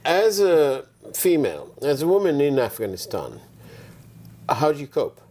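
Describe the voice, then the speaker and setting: A man from Sri Lanka hosting a studio interview, speaking haltingly with many fillers and restarts. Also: fairly high pitch, wildly swooping pitch, warm tone. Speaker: talk show host.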